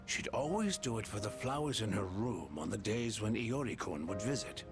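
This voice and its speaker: An actor playing a thoughtful, analytical male researcher in a video game drama, explaining things in a measured, reflective way. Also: fairly low pitch, big pitch swings. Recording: loud music over the voice.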